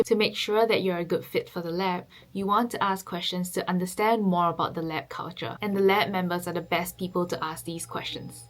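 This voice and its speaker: Woman from Singapore, 20s, PhD vlogger; earnest explainer, slightly low voice. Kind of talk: advice talk to camera. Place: office.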